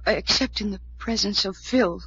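An actress playing an anxious, earnest young heiress with a hesitant manner in a 1940s radio drama. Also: female voice, mid-range voice, moderate pace, a bit bright, slight background noise, lightly acted. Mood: reluctant. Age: young adult. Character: troubled, anxious twenty-four-year-old heiress, earnest and resigned. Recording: muffled old-time radio recording.

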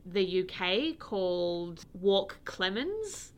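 A woman's voice: mid-range voice, sing-song, very slow, clean tone. A woman co-hosting a podcast in casual chat, chatty and explaining at length with frequent laughs. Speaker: podcast co-host.

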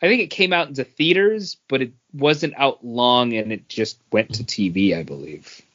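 A man co-hosting a podcast, talking casually about comics and feeling his way haltingly through his thoughts. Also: mid-range voice, animated, fairly bright tone, dull audio. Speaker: podcast co-host. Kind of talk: casual comic book review chat.

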